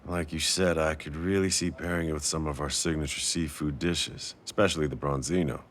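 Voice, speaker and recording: A man playing a warm, teasing restaurant owner with a secret crush in a casual, intimate boyfriend audio roleplay. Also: rumbling low, fairly even intonation, brisk, a little hiss or hum. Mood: relaxed, warm.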